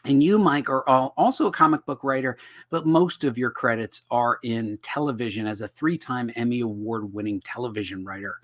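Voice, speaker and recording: Brief: middle-aged male host, slightly hoarse, jokey and self-deprecating, webcam sound. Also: medium pitch, moderate pace. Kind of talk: casual livestream teaching. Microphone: video call webcam.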